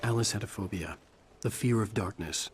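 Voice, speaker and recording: A man's voice: on the low side, normal speed, very noisy, close to an everyday voice. A man playing a weary, short-tempered writer in a video game, with brooding, introspective delivery over music. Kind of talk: dramatic game acting with introspective narration.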